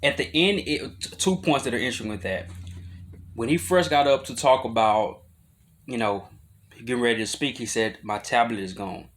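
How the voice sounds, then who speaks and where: An adult man, talking casually to his viewers in a loose, rambling way, full of fillers and restarts, rising in volume when he drives a point home. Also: moderate pace. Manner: grows louder and higher when making a point. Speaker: vlogger. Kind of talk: casual talk to camera.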